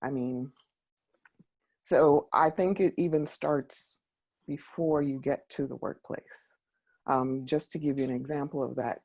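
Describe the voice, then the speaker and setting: A woman from Jamaica speaking as a panelist in an online discussion, reflective and measured, over a video call. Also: very deep voice, steady tone, darkish tone.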